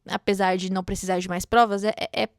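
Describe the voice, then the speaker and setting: A woman's voice: mid-range voice, fairly even intonation, fast talker, creaky, dry recording, studio quality. A Brazilian woman hosting a book read-along, commenting casually and expressively like a chatty, gushing fan.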